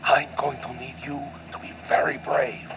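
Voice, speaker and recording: A man's voice: flat delivery, moderate pace, close to an everyday voice. A man playing a brave, devoted father and pilot in a radio play, earnest, dramatic and tender. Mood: tender, resolute. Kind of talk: audio drama acting. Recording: muffled, faint vehicle rumble.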